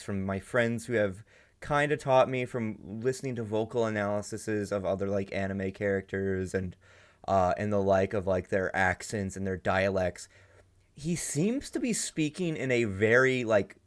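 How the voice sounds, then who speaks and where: A young man hosting a reaction video, commenting casually and rambling as he thinks aloud. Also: fairly low pitch. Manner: rambling, many fillers and restarts, thinking aloud. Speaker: reaction channel host.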